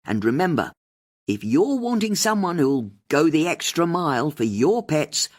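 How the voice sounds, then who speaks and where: A man reading aloud, voicing a brisk, upbeat woman who presents a local radio show. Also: a little high, very animated, pitched above the performer's own voice. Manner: upbeat radio personality, breezy.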